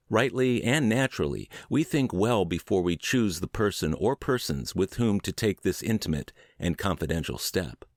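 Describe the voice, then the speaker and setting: A man narrating a book word for word as scripted narration, with measured, deliberate phrasing. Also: deep voice, no room sound, clean studio sound. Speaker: audiobook podcast narrator.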